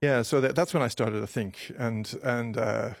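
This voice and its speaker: A man in his fifties from Ireland, a doctor interviewed on radio, telling his story emotionally in halting speech, with many restarts and repeated words. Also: mid-range voice, vocal fry, dry recording, clean studio sound. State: emotional, voice close to breaking.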